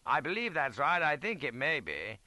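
A man's voice: on the high side, big pitch swings, moderate pace, bright, forceful tone, dry recording, pitched above the performer's own voice, heavily acted. A man voicing a falsely noble cavalry colonel with a patronising, lofty address, in an audiobook. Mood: reassuring.

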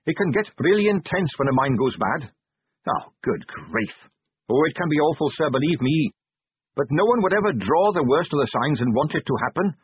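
An earnest young police captain from a storybook, acted by a man, deferential and keen to explain. The pitch moves around a lot, the voice is a little husky, and the sound is thin and narrow like a phone. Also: animated, normal speed, no echo. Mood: anxious, earnest. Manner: respectful, eager, explanatory. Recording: narrow-band, phone-like audio.

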